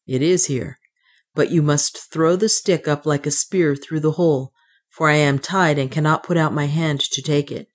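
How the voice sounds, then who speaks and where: A woman's voice: low voice, steady tone, moderate pace. A woman voicing a captive male adventurer in a storybook, a wry, commanding master who gives terse, urgent, old-fashioned instructions.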